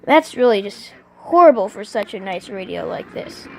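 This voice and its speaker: A vlogger casually showing off a collection, speaking unscripted and haltingly, often stopping to clear the throat. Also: mid-range voice, lively intonation, very noisy. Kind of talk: casual unscripted show-and-tell.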